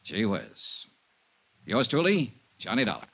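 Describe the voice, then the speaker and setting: A man's voice: on the low side, huge pitch swings, measured pace, gravelly, dull audio, very noisy, full character voice. An actor in a 1950s radio drama playing a hard-boiled, principled male insurance investigator who speaks and narrates in a terse, dry, clipped way. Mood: brisk, wry.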